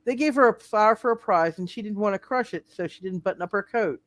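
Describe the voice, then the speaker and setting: A woman playing a concerned, patient wife and mother, gently reassuring, in a live radio play reading. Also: medium pitch, fast, dry recording, lightly acted.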